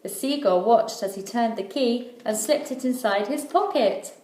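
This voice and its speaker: A woman reading a children's storybook aloud like a teacher, in measured, rhythmic phrases. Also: fairly high pitch, darkish tone. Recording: echoey room.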